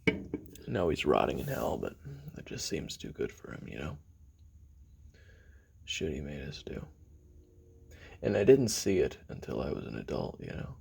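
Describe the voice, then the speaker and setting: Guy acting as a jaded regretful hitman taping his memoirs, super low husky voice, halting, confessional audio drama. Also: wildly swooping pitch, a little mellow, full character voice. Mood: bitter, brooding. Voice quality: very deep, gravelly, husky, often breathy. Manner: halting, confiding, dropping into near-whispers. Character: weary, bitter, regretful male assassin recounting his youth. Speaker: voice actor in an audio roleplay.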